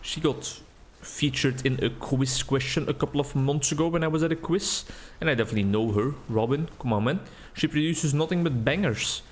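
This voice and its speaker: A young man with a Belgian accent giving relaxed, rambling commentary while playing a game, recorded at home on a desk mic. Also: a little high, a little fast. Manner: rambling, relaxed, thinking aloud. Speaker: gaming video creator. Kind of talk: casual gameplay commentary. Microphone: desk mic with webcam.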